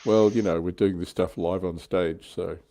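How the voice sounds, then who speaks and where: A man with a low-pitched, soft-edged voice talks as a livestream co-host. He chats casually about his hobby, explaining his views with conviction in a dark, relaxed tone. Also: normal speed. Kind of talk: casual hobby chat about tabletop wargames.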